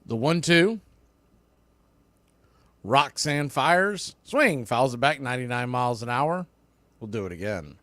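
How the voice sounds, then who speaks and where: A man's voice: mid-range voice, lively intonation, measured pace, long pauses, fairly bright tone, no echo. A man doing live baseball play-by-play in clipped short phrases, with casual asides.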